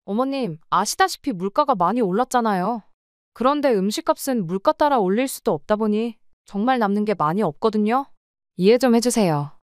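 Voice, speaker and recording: 여성이 연기하는 억울한 식당 사장 아내. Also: female voice, medium pitch, expressive, brisk, clear, resonant tone, no echo, studio quality, close to an everyday voice. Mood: pleading. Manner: pleading, then sharply arguing. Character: exasperated wife who runs a restaurant. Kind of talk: radio drama acting.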